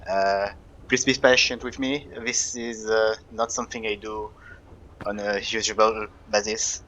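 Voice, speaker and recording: A young man with a French accent, casually commenting on his own gameplay and thinking aloud, with frequent pauses and fillers. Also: on the low side, level tone, bright, forceful tone, muffled recording, slight background noise. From France.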